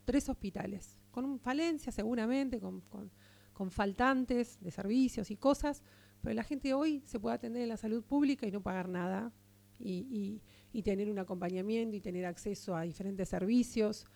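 Gravelly, mellow Argentine woman. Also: medium pitch, normal speed. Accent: Argentine Spanish. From Argentina, Partido de la Costa. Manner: earnest, steady advocacy with frequent restarts. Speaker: interviewed guest, local political figure. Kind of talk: radio interview.

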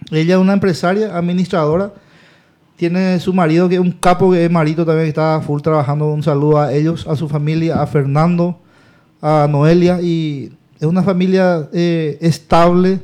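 A man's voice: high voice, warm tone. A man, a local politician talking about his campaign in a casual, rambling, emphatic way that repeats itself.

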